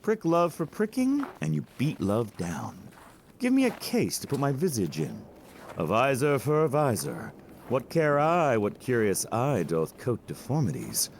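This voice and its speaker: A man acting a playful young gallant whose voice swings dramatically at an unhurried, very slow pace. He is witty, bawdy and theatrical in Shakespearean verse, with faint noise behind. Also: mid-range voice, wildly swooping pitch, creaky, full character voice. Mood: teasing, playful. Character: flamboyant, teasing young gentleman, witty and bawdy friend. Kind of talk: Shakespearean audio play acting.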